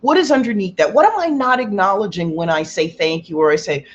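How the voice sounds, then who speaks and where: A woman with a loud, carrying voice giving a rambling, casual self-help talk as a Reiki practitioner, acting out quotes with big exaggerated jumps in pitch. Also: animated, a little fast, lo-fi sound, a little hiss or hum. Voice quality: loud voice that carries. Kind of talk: casual motivational talk to viewers.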